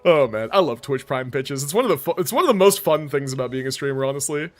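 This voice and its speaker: An American man chatting casually on a live game stream in an animated, slangy, joking way. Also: mid-range voice, quick pace, soft background music.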